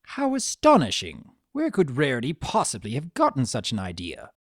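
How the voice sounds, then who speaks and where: A woman's voice: rumbling low, huge pitch swings, moderate pace, no echo, clean studio sound, heavily acted. A woman voicing a sly male chimera trickster from a storybook, theatrical, drawling, sardonic and mock-innocent. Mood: mock innocence, then feigned casual.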